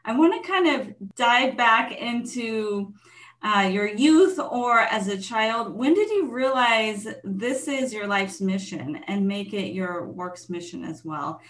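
A woman's voice: on the high side, lively intonation, takes it slowly, a bit bright. A woman hosting a podcast interview, greeting her guest effusively and heaping praise on her. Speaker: podcast host. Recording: echoey room.

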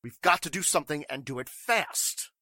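A man acts out a scheming crook in a forties radio drama. His voice is sharp and a little high, with agitated, folksy slang. The sound is dry and close. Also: expressive, normal speed, no echo. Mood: alarmed, urgent. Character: scheming crooked man reporting trouble to an accomplice. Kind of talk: 1940s radio drama acting.

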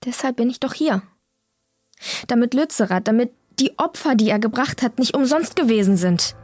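A woman's voice: a little high, lively intonation, moderate pace, a little mellow, no room sound. A woman playing an earnest, defiant young climate activist answering questions in an audio drama interview.

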